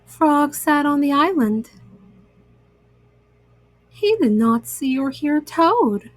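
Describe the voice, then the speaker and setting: High-voiced woman reading slowly to children. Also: lively intonation, dry recording. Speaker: children's storyteller. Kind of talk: read-aloud story narration for children.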